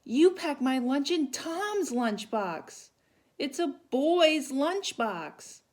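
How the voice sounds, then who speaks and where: A woman voicing an upset, picked-on but plucky young schoolgirl in a storybook, with slow, emphatic words. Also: high voice, very animated, a little mellow, voice pushed up, heavily acted. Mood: upset, indignant.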